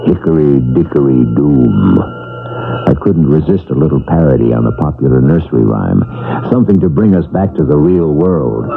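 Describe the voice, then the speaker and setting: A man's voice: extremely low pitch, vocal fry. A man hosting a mystery radio drama with sly, theatrical scripted narration. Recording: tinny old radio broadcast with music.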